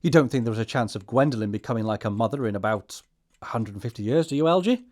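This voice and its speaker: An actor playing an earnest, easily flustered young upper-class English gentleman with a proper, fussy manner, in a stage comedy audio production. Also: medium pitch, expressive, quick pace, close to an everyday voice. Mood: exasperated.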